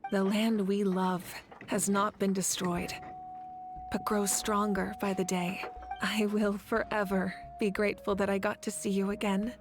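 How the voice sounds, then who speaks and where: An actress playing a solemn, resolute woman who leads a nation, speaking in measured, earnest and formal lines with tender grief. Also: medium pitch, little pitch movement, lightly acted. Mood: tender, reflective. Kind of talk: dramatic video game voice acting. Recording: orchestral game music behind.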